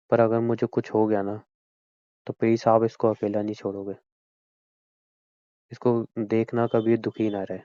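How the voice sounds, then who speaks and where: A man acts out a wounded young boyfriend in a phone-call drama. He speaks in a flat, barely varying voice, brooding and reproachful. The sound is very dry and close, like a dull lo-fi call recording. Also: lowish voice, no room sound, close to an everyday voice. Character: hurt, reproachful young man doubting his girlfriend.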